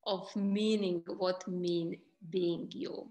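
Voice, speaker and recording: Woman, slow halting english, gentle encouraging manner, roomy video call sound. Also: fairly low pitch, steady tone. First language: Croatian. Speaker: class organizer and translator.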